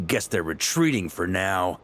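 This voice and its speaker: An actor playing a hearty, battle-hardened knight commander in a video game, boisterous and bantering. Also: male voice, low voice, sing-song, measured pace, noisy background. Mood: relieved.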